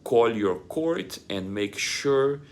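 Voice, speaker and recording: A middle-aged man presenting a scripted explainer to camera in a deliberate, measured, formal way. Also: mid-range voice, lively intonation. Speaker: host of an advice channel.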